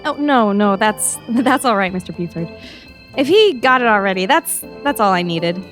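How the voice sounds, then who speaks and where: A woman's voice: mid-range voice, expressive, moderate pace, a bit bright, soft background music, natural voice. A woman playing an inquisitive, determined teenage girl reporter in an audio drama. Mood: nervous, evasive. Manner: hesitant when fibbing, insistent when pressing.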